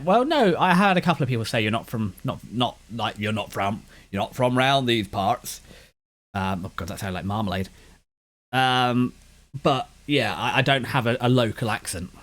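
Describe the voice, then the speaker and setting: A man's voice: medium pitch, fairly bright tone. A man from West London with a London accent and a slight lisp, chatting casually and rambling, his words blurring together as he restarts his sentences. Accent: London English. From West London, England. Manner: meandering with frequent restarts. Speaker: anime channel host.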